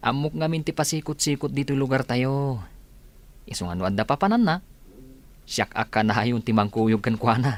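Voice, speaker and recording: A man's voice: mid-range voice, expressive, moderate pace, long pauses, close to an everyday voice. A man playing an easygoing, respectful village workman in a radio comedy drama. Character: easygoing, deferential village workman, helpful and chuckling. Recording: faint vehicle noise behind.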